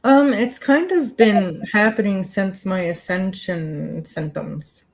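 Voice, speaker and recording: A woman's voice: mid-range voice, slow, vocal fry, a little mellow. A woman panelist in a casual chat, hesitant and searching for words.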